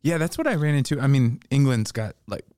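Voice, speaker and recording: A man hosting a casual podcast chat, laid-back and quick to laugh. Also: mid-range voice, animated, mellow, no echo, studio quality. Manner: laid-back, short backchannels, easy laughter.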